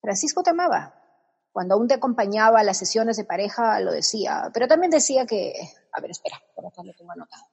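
An actress playing a blunt, sarcastic woman therapist who is also a friend, in a radio comedy, sharp-tongued. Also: a little high, expressive, fast talker, creaky, slightly rough, in a large hall, muffled recording, exaggerated voice. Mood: lecturing.

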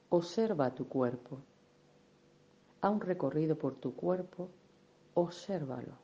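A woman leading a guided meditation in a gentle, unhurried, soothing manner, with affectionate little diminutives. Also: deep voice, lo-fi sound. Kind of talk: guided meditation, soft instruction.

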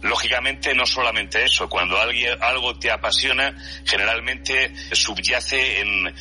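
Bright-voiced middle-aged man from Spain. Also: medium pitch, measured pace. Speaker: interview guest, horror story channel narrator. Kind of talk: reflective interview talk.

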